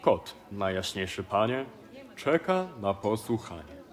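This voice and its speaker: Man playing a slow, formal royal courtier. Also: lowish voice, sing-song, a faint crowd. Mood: deferential. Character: formal, deferential royal courtier announcing to king. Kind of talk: rhymed audio play.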